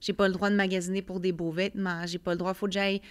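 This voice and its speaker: A woman's voice: fairly low pitch, quick pace, clean tone. A young woman with a Quebec French accent, a podcast guest speaking candidly about her life, with many fillers and quoted thoughts. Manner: earnest, many fillers, lively storytelling with quoted inner voice. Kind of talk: candid personal testimony.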